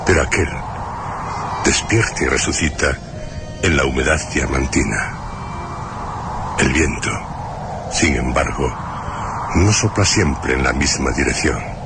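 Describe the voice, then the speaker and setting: A man presenting and narrating a radio drama in a solemn, measured, literary style. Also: a bass voice, heavy background noise. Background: music.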